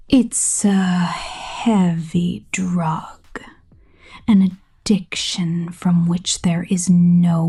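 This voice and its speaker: A woman voicing a possessive succubus pop star in an ASMR roleplay, seductive and menacing, speaking slowly and intimately in breathy whispers. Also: fairly low pitch, dark tone, full character voice. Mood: dark, controlling. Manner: slow, deliberate, intimate.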